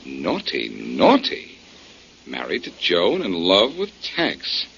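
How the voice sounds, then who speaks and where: An actor playing a wry, needling, confident amateur detective in a 1940s radio drama, with a sardonic, probing way of talking. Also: male voice, low-pitched, wildly swooping pitch, slow pace, creaky, a bit bright, full character voice. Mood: sly, probing. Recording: dull 1940s radio recording with hiss.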